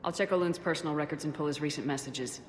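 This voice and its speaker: Actress as military agent, deep female voice, quick delivery, businesslike, persuasive, game dialogue. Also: background noise, natural voice. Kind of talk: video game voice acting.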